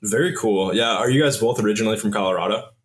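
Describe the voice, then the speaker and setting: A man hosting a podcast, asking questions in a relaxed way with some fillers. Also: on the low side, fast talker. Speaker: podcast host. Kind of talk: casual podcast interview.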